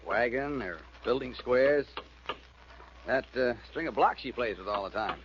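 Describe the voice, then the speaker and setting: An actor playing a mild-mannered, hesitant doctor in a 1940s radio drama. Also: male voice, mid-range voice, lively intonation, a little slow, natural voice. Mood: genial. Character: mild, hesitant doctor, husband and hobby carpenter. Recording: muffled, hissy old radio recording.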